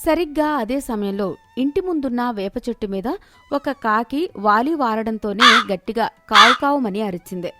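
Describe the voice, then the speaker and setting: A woman's voice: on the high side, expressive, normal speed, over loud music. A woman reading a scripted moral story as its narrator.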